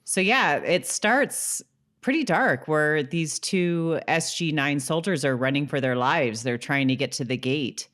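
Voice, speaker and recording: Female podcast co-host, notably low register, informal and conversational recap delivery. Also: lively intonation, unhurried, fairly bright tone. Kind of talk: casual episode recap chat.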